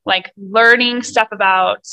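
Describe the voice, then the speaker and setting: A woman coach co-hosting a laid-back podcast, explaining things and asking curious follow-up questions. Her voice is bright, at a middle pitch. The recording is dry and close. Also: fairly even intonation, slow pace, smooth, dry recording, rough recording. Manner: engaged, asks follow-up questions. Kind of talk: casual podcast chat.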